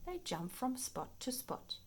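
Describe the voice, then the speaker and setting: A woman reading a children's nature book aloud in an expressive, playful storytime manner, paced for young listeners. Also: sits high, a little slow, slight background noise. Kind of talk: read-aloud children's nonfiction storytime narration.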